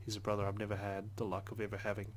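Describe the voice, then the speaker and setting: A man's voice: on the low side, monotone, racing. A man reading a visual novel's first-person narration aloud as a let's play host, earnest, with occasional stumbles.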